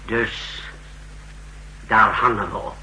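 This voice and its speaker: An actor playing a tense, defensive secret-service chief in a 1960s radio drama, with clipped, emphatic, formal delivery. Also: male voice, lowish voice, fairly even intonation, slow pace, pushed and bright, full character voice, rough recording. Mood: anxious, defensive. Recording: hissy, humming 1960s radio recording.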